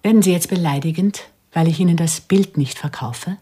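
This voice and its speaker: An actress playing a cool, self-assured female contract killer in a radio play, controlled and sardonic with a husky voice. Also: fairly low pitch, normal speed, mellow. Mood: defiant, sardonic. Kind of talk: radio play dialogue and inner monologue.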